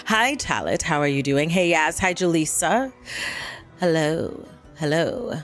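A woman livestreaming as a theatrical, witchy horror hostess, with dramatic, drawn-out, formal phrasing and a coy temper. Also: sits low, expressive. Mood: measured, welcoming. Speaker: game streamer. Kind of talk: livestream chat in a put-on horror persona. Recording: music behind the voice.

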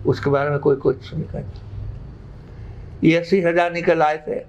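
A man, explaining things haltingly but emphatically as an interview guest. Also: fairly high pitch, fairly even intonation, darkish tone, a little hiss or hum. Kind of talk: unscripted interview answers. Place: living room indoors. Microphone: lapel mic. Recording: slightly muffled sound.

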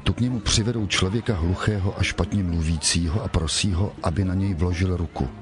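A man narrating scripture from a script in a solemn, measured manner. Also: deep voice. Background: music.

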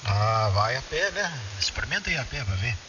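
A man's voice: mid-range voice, very animated, normal speed. A Brazilian man chatting casually, his voice carried by a shortwave ham radio transmission. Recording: distant, muffled, hissy shortwave radio.

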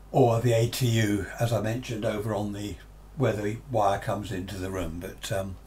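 A man's voice: on the low side, a little mellow, slight background noise. A man in his seventies giving an informal, unscripted hobby tutorial, chatting along with rambling asides. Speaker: amateur radio hobbyist presenting a tutorial. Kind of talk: informal unscripted explanation to camera. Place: small cluttered home radio room, indoors.

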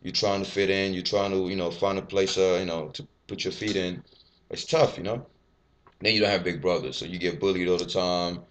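Deep-voiced fast-talking young man vlogging. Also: steady tone, fairly bright tone, a little hiss or hum. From Ivory Coast. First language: French. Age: 20s. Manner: candid, rambling, swears freely, gestures a lot. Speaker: fitness vlogger. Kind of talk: casual personal vlog to camera. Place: small bare indoor room. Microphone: webcam at desk.

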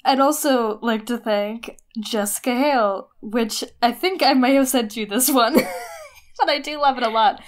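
A young woman co-hosting a podcast, making quick playful asides. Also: a little high, expressive. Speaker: podcast co-host. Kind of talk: casual podcast chat.

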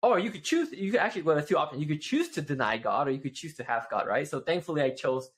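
A man's voice: on the high side, fast. A man in his twenties from Malaysia, chatting casually as a guest over a video call and talking with his hands. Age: 20s. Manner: animated, gestures with both hands, many "right?" tags. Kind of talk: casual interview chat. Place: home office with shelves.

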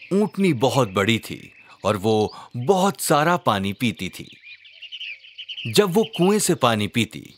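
A man narrating a scripted children's religious story in a measured, dramatic storyteller delivery. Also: medium pitch, a little hiss or hum.

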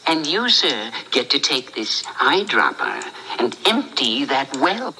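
A man's voice: mid-range voice, sing-song, takes it slowly, bright, forceful tone, slight background noise, exaggerated voice. A man voicing a sly, eerie cartoon creature in a formal, theatrical manner. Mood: ominous, courtly.

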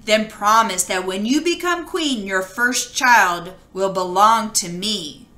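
Woman playing a bright-voiced, bargaining tiny man. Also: medium pitch, expressive, slow, roomy sound. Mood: demanding. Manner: insistent, bargaining. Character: tiny, bargaining, demanding magical little man.

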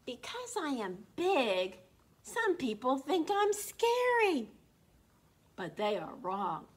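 A woman voicing a big, gentle male dinosaur from a picture book, speaking slowly and proudly to reassure listeners. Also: high voice, huge pitch swings, full character voice.

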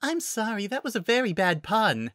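A narrator voicing a timid, gentle, apologetic young female druid in a fantasy story, soft-spoken, nervous and hesitant. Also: medium pitch, sing-song, moderate pace, no room sound, clean studio sound, voice pushed up. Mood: meekly polite. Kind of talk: audiobook-style fantasy story character.

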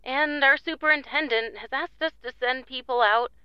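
A woman's voice: high-pitched, moderate pace, smooth, no echo, close to an everyday voice. A woman playing a formal, politely officious hospital receptionist in a 1940s radio drama. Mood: prim, officious. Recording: dull, band-limited sound.

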